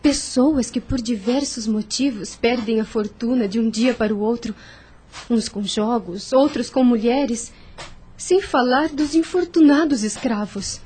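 An actress playing a meek, sweet young Brazilian heiress in a radio drama, speaking gently and formally. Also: female voice, on the high side, unhurried, husky. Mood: troubled, reflective.

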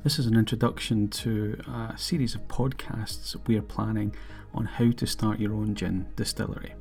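A middle-aged man with a Scottish accent, hosting a podcast monologue in an earnest, measured way. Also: lowish voice, fairly even intonation, vocal fry, over music. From Scotland. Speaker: podcast host.

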